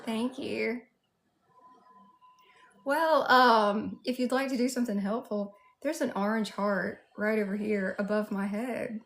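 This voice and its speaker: A woman's voice: mid-range voice, fairly even intonation, a little slow. A woman with a Southern US accent hosting a casual livestream, chatting and addressing viewers one by one. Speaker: livestream host.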